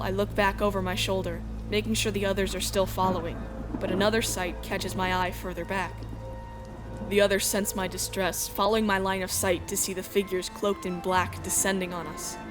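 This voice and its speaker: A woman's voice: medium pitch, natural voice. Woman acting an earnest heroine narrator over music. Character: earnest exiled princess heroine narrating her adventure. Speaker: narrator and lead character. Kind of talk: scripted first-person narration with acted dialogue.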